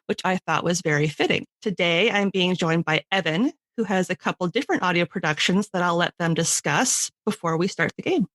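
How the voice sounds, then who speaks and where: A woman's voice: fairly low pitch, fairly quick, hardly any pauses, a bit bright, no echo. A woman hosting a podcast, chatting casually in an enthusiastic, talkative way. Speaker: podcast host.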